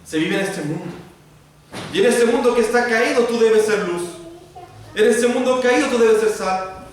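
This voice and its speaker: A Chilean pastor preaching an expository sermon, addressing the congregation directly in repeated parallel phrases. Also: male voice, very high-pitched, moderate pace. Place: church sanctuary. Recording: very echoey church, voice from far off, faint noise.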